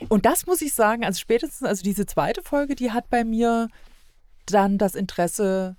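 A woman's voice: on the high side, normal speed, darkish tone, no echo, clean studio sound. A woman as a podcast guest, chatting casually and colloquially through a review, often agreeing.

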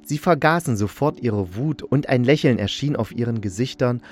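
A man narrating a fairy tale as an expressive storyteller, reading from a script. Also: mid-range voice, moderate pace.

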